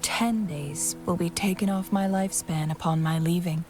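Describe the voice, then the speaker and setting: An actress playing a composed, mysterious young woman strategist in a fantasy video game, speaking softly in measured, formal phrases. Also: on the low side, steady tone, close to an everyday voice. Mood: grave, composed. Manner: measured, unhurried, formal, soft-spoken. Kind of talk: video game voice acting, storytelling dialogue. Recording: music and rain behind the voice. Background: game music, rain.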